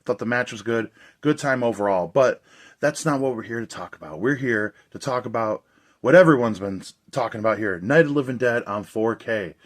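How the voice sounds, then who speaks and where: A young man with a voice worn a little hoarse, talking in a loose, rambling way. He sounds enthusiastic and casual, reviewing a movie release for his regular channel. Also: mid-range voice, brisk. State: slightly hoarse.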